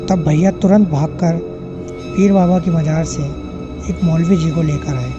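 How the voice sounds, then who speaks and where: A man's voice: on the high side, a little slow, dull audio. A man narrating a scripted first-person horror story, with music playing behind him.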